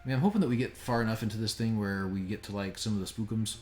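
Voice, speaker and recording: A man with a low voice chats through a live game stream in a rambling, opinionated way. The room is echoey, and game music plays faintly behind him. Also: racing, darkish tone. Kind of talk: casual live stream commentary. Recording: roomy sound under game audio.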